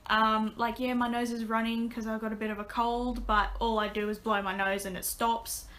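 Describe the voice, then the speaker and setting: A young woman chatting casually to her webcam from a small bedroom, her voice a little raspy, full of ums and restarts. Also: on the high side, little pitch movement, normal speed, fairly bright tone. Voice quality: slightly raspy. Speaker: vlogger. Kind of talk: casual vlog update. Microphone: webcam at desk.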